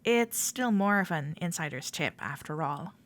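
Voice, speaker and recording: A woman playing a friendly, playful club member in a roleplay audio, gently reassuring a shy newcomer as she speaks directly to the listener. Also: deep voice, expressive, normal speed. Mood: curious, puzzled. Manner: gentle, reassuring, playful, hesitant soft-spoken chattiness.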